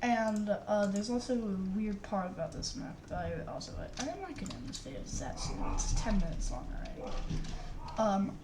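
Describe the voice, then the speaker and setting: A woman's voice: mid-range voice, lively intonation, normal speed, background noise. A woman giving casual, rambling commentary on a game while she plays it. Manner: narrates on-screen action while playing, loose and rambling. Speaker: YouTuber reviewing a game mod. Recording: roomy, distant mic under loud game music.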